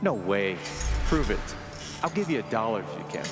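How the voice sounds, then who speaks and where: A man's voice: fairly low pitch, very animated, normal speed, over music. A man playing a teasing young guy in a video game, casually daring and challenging.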